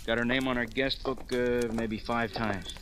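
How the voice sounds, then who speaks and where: An actor playing a brisk, wry police sergeant in an old radio crime drama, reporting in clipped slang. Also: male voice, medium pitch, fairly even intonation, close to an everyday voice. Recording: noisy vintage radio recording.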